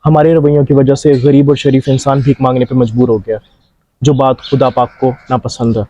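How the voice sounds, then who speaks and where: A man's voice: mid-range voice, flat delivery, brisk, mellow, dry recording, lo-fi sound, close to an everyday voice. A man playing a righteous young man who speaks earnestly and preachily to his elders in an amateur moral drama. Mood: earnest, respectful. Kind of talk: amateur moral short-film acting.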